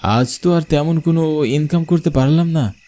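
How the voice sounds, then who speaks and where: A man playing a travelling bioscope showman, narrating an eerie cartoon story in the first person with expressive delivery. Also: fairly high pitch, moderate pace, close to an everyday voice. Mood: storytelling. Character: travelling village bioscope showman telling his own eerie tale.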